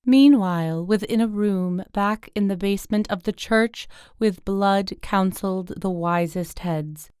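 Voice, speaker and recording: Female poetry narrator, exceptionally smooth, slightly low, unhurried. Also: a little mellow, dry recording, studio quality. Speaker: poetry reader in a group recording. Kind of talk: measured narrative verse reading.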